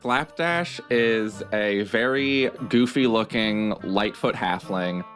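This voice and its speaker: Male tabletop gamer, fairly deep voice, improvised storytelling with faint music underneath. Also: a bit bright. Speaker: tabletop roleplay player. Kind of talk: improvised character narration in a tabletop game.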